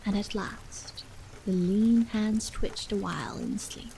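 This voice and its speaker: A woman reading a novel aloud as a bedtime ASMR story, soft and hushed, with some phrases trailing into a whisper. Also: mid-range voice, slow, creaky, a little hiss or hum, low-quality recording. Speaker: storyteller, reader. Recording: steady rain sound over the voice. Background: rain.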